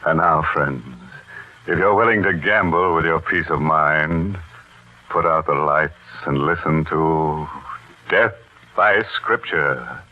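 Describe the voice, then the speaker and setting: An actor playing a mock-courteous, morbidly joking horror host in 1940s radio style. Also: male voice, a bass voice, takes it slowly. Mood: ominous. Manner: mock-courteous, theatrical, macabre. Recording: muffled old radio broadcast with music.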